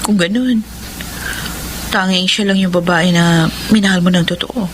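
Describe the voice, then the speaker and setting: An actress playing a principled, traditional young woman, measured and gently admonishing, in a radio romance. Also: lowish voice, fairly even intonation, a little hiss or hum, close to an everyday voice. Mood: skeptical. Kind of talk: Tagalog radio drama acting. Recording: radio drama with music behind. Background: music.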